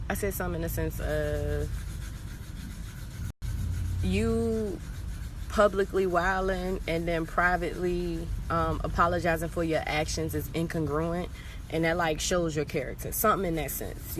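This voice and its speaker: A woman telling a personal story casually and candidly, blunt and profane, with lots of slang and animated acting-out of her reactions. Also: low-pitched, background noise. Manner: animated, acts out quoted reactions. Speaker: storyteller hosting own vlog.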